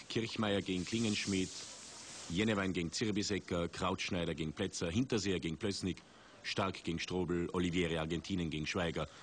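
A man commentating a ski race for TV, measured and rising in excitement at big moments. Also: low-pitched. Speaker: sports commentator. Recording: 1980s TV broadcast with outdoor noise.

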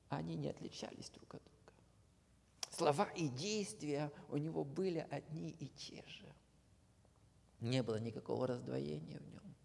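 A man preaching a sermon, slow and earnest, often dropping to a hushed near-whisper at the ends of phrases. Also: a little high, creaky. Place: church hall. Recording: long hall reverb.

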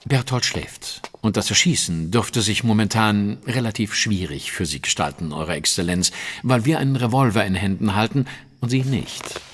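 An actor playing a confident, sardonic Victorian detective in a German audio drama, polite, measured and ironic. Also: male voice, on the low side, expressive, slightly rough. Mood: dryly polite.

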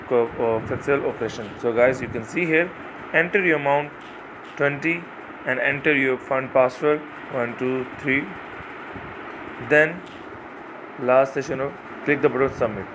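A man narrating a screen-recorded tutorial, talking haltingly and repeating "you can see here". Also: medium pitch, background noise. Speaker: crypto site walkthrough narrator.

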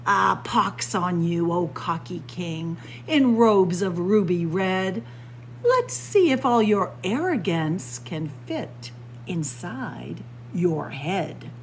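A woman voicing a proud, vengeful young storybook sorceress in a deliberate, incantatory manner. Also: lowish voice, sing-song, a little hiss or hum, heavily acted. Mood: vengeful, scornful.

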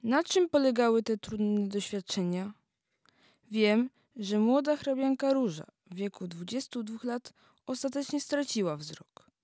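A woman's voice: on the high side, lively intonation, measured pace, mellow, dry recording, clean studio sound. A young woman playing a curious questioner in a scripted radio-play dialogue. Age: young adult.

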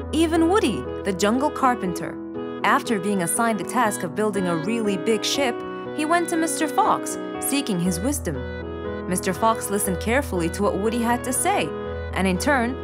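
A woman's voice: medium pitch, very animated, normal speed. A woman narrator reading a scripted children's story. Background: music.

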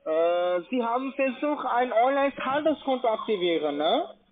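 Male phone sales agent, non-native with foreign accent, high pitch, lo-fi line. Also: expressive, normal speed. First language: not German. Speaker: call centre agent. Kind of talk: sales call, walking customer through steps.

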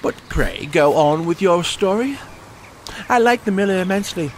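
An actor playing a self-important, opinionated water-rat in an audio drama, with a pompous manner. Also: male voice, sits high, lively intonation, normal speed, slight background noise. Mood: haughty. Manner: pompous, haughty. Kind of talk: theatrical audio drama acting.

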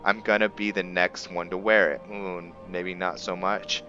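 A man giving roleplay game commentary, musing and pausing often as he talks. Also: low-pitched, flat delivery, bright, forceful tone, dull audio, faint music behind. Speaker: gameplay video host. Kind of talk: roleplay game commentary with some reading aloud.

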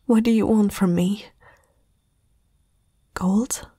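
Casting: woman to play young fantasy princess, regal and fearful, heavily breathy whisper, slow formal delivery for ASMR roleplay. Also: mid-range voice, fairly even intonation, mellow, no room sound, studio quality. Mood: defiant, fearful. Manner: haughty, formal, halting. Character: proud, frightened eighteen-year-old princess confronting a night intruder. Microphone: close microphone, dry.

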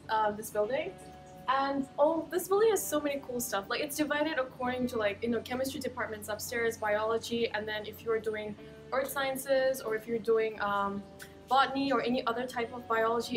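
A woman's voice: on the high side, moderate pace, soft background music. A young woman from Nepal and Tibet giving a casual, chatty, emphatic campus tour as a student guide. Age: young adult. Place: indoor hallway and classroom. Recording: echoey room, distant mic, faint noise.

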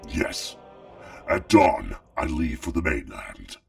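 A man playing a stern, menacing male dragon father in an audio drama, with a gravelly growl and cold, contemptuous delivery. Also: very deep voice, sing-song, darkish tone, far from the mic, soft background music, heavily acted. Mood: cold, commanding. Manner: gratingly slow, cold, contemptuous.

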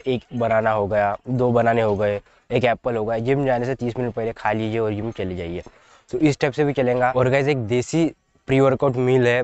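A man's voice: medium pitch, a little mellow, smooth, no echo, dull audio. A young man in his twenties, a fitness vlogger giving chatty, repetitive diet advice straight to camera outdoors on a lapel mic. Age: 20s. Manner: chatty, repetitive, addresses viewers as guys. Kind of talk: casual direct-to-camera advice. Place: outdoors in front of trees.

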